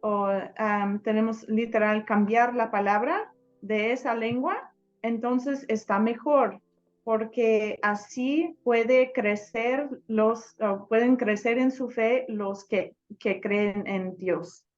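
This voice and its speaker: A woman's voice: fairly high pitch, fairly even intonation, takes it slowly, dull audio, faint music behind. A woman from a translation team reading a draft aloud carefully, hesitating as she searches for words.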